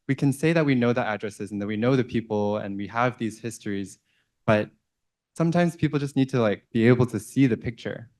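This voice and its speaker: A man answering as a scholar on a panel, thoughtful and searching as he talks. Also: on the low side.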